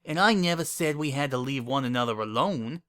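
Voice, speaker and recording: A man's voice: mid-range voice, animated, a little fast, a bit bright, smooth, close to an everyday voice. A man voicing a haughty, scornful young female pony with a pompous, sniffy manner in a dramatic story reading. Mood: smug, airy.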